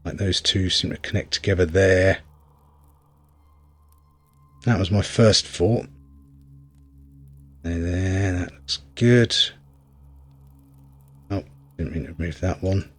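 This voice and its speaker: Male gameplay commentator, slightly deep and husky, dry humour, loose off-the-cuff delivery. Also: slow, long pauses, faint music behind. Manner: wry, rambling, thinking aloud. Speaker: game reviewer and player.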